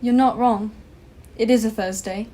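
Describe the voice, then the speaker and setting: A woman's voice: on the high side, fairly even intonation, mellow, natural voice. A woman playing a wounded young fiancée. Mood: reproachful. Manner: measured, dignified reproach. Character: young woman, gentle but hurt fiancée. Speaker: stage actor. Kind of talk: school stage play acting. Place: school theatre stage.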